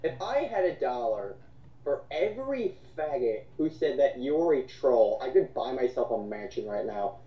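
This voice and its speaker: Animated, sarcastic man, roomy distant recording. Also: medium pitch, moderate pace. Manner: sarcastic, profane, exclaiming. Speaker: co-host reading and mocking online comments. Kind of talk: casual mocking commentary chat. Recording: roomy, distant, slightly dull mic.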